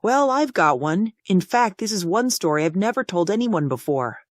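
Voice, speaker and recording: A man voicing a kindly steam engine in a cartoon dub, telling an old legend in a measured, earnest way. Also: high-pitched, big pitch swings, dry recording, clean studio sound. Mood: earnest, storytelling. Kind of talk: comic dub cartoon storytelling.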